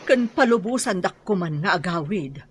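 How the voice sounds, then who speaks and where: A woman's voice: on the low side, expressive, normal speed, lightly acted. Lady playing a distressed humble mom in a radio drama, voice goes up and down a lot, slightly noisy. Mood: distressed, respectful. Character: humble distressed mother defending her child. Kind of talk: Filipino radio drama acting.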